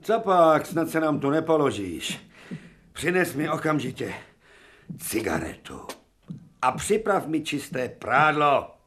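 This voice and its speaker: A domineering old surgeon professor in a radio play, snapping short commands at his staff. His voice sits fairly high and swings widely as he goes from growl to shout. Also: big pitch swings, pauses often. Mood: brusque. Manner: barking curt orders, impatient and gruff.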